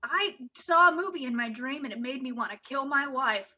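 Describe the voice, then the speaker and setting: A woman's voice: sits high, animated, brisk, bright, forceful tone, highs cut off. A woman acting out improvised comedy scenes in an exclamatory, theatrical way.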